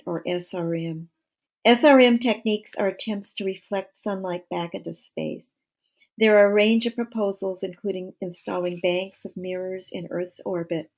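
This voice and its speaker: A woman narrates an audiobook from a prepared script. Her voice sits at a middle pitch for a woman, and her delivery is measured and explanatory. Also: darkish tone.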